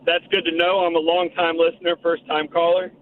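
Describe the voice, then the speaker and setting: A man calling in to a sports radio show, his voice raspy from cheering, talking earnestly and rambling a little. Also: a little high, flat delivery, a little fast. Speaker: caller. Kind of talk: phone-in sports chat. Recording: phone line with faint noise.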